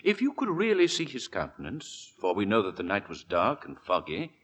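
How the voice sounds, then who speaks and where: A man's voice: lowish voice, huge pitch swings, a bit bright, husky, full character voice. A man playing a courteous, probing Victorian coroner in an old radio drama, formal and official, with hesitations. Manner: formal, measured, hesitant with uhs.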